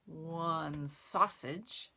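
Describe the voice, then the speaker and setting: A woman reading aloud to young children in simple, expressive, word-by-word phrases. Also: low voice, drawn-out words. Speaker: storytime reader and sign language teacher. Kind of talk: children's read-aloud and sign lesson.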